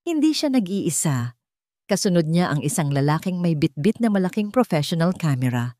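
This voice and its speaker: Low-voiced woman narrating a dramatic story. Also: sing-song, moderate pace, dark tone, no room sound, clean studio sound.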